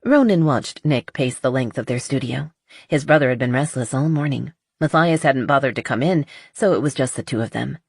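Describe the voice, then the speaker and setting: A woman with a husky voice reading scripted romance narration as an audiobook narrator. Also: very deep voice, normal speed, darkish tone, no echo, clean studio sound.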